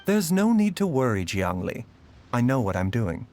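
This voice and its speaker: A man voicing a calm, gentle young doctor who speaks in a measured, reassuring way. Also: mid-range voice, slight background noise, natural voice.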